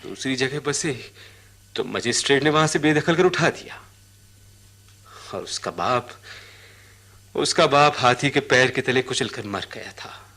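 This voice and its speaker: A poor, simple elephant-catcher in a radio play, pours out his troubles. His voice is husky and lively in pitch. He is plaintive and halting, circling back over his phrases. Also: full character voice. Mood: sorrowful. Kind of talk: radio drama acting.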